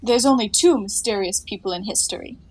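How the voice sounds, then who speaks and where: An actress playing a flippant, quick-witted young woman in a 1920s comedy audio play, breezy and playfully sarcastic. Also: medium pitch, darkish tone, natural voice, rough recording. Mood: mocking.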